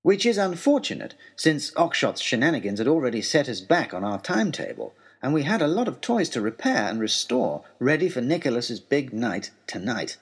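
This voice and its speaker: A man playing an earnest, eager young gentleman adventurer in an audio story, narrating a letter in a formal, wordy, wry way with deliberate pauses. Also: medium pitch, big pitch swings, slightly rough. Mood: bemused, eager.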